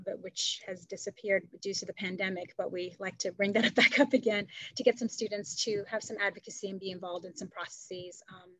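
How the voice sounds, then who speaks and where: Middle-aged woman panelist, even, steady delivery, dry close sound, headset on a video call. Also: mid-range voice, no echo, rough recording. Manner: conversational, with frequent fillers and light laughs. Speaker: panelist, political science department chair. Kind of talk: academic panel conversation. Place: home room.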